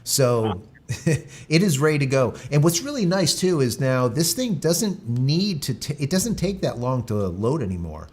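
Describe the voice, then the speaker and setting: A man's voice: medium pitch, sing-song, measured pace, a little mellow. A man hosting a live stream, chatting casually, rambling, with many fillers and restarts. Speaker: livestream host.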